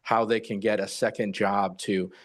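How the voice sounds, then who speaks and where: A man's voice: lowish voice, little pitch movement, normal speed. An older American man, a retired expert, giving unscripted answers in an interview. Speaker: retired air traffic controller, expert interviewee.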